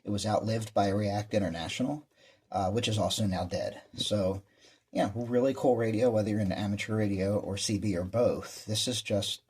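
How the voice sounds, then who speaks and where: A man's voice: on the low side, fairly even intonation, normal speed, darkish tone, slight background noise. A man presenting a hobby vlog in casual, rambling show-and-tell talk, with an enthusiastic manner. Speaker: amateur radio hobbyist presenter.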